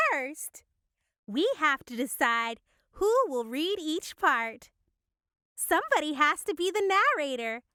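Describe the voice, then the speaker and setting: A woman's voice: high-pitched, bright, forceful tone, no room sound, studio quality. A woman narrating and co-hosting a playful children's reading lesson. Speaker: narrator and co-host.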